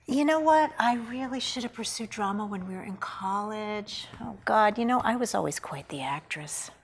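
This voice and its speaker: A woman's voice: medium pitch, animated, normal speed, a little hiss or hum, close to an everyday voice. A woman playing a wry, bitter divorced old friend in a script table read, sardonic, drawling and teasing.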